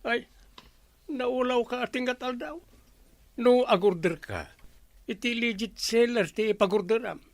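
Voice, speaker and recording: An actor plays a sceptical village captain with an Ilocano accent in a radio comedy. The voice is mid-pitched, lecturing and scolding, in a dry studio. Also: a little slow, long pauses, dry recording, natural voice.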